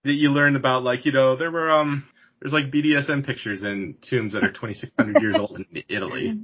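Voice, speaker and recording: A man's voice: medium pitch, brisk, slightly rough. A man in his forties hosting a podcast interview, rambling casually with frequent laughs and restarts. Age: 40s. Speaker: podcast host. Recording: dull lo-fi sound.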